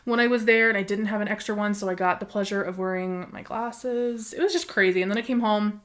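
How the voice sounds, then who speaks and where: Hoarse woman w a cold just chatting thru her makeup at home, kinda rambly. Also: mid-range voice, fairly quick. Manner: chatty, rambling, many ums. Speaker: beauty vlogger. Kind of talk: casual makeup tutorial chat.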